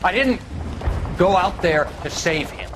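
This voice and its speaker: An actor playing a haunted, defiant, war-crazed soldier in a video game, intense and brooding. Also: male voice, high-pitched, animated, measured pace. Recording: noisy battlefield behind the voice.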